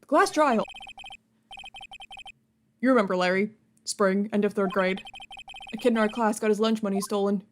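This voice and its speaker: A woman's voice: medium pitch, moderate pace, pauses often, slight background noise. A woman reading a game's dialogue aloud, playful, with jokey asides. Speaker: let's play streamer. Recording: game music behind.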